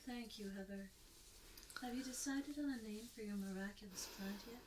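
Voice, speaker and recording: A woman playing a polite interviewer in a role play, asking measured questions, quiet and set back from the microphone. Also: medium pitch, noisy background, lightly acted. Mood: politely curious. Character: female interviewer questioning a plant grower.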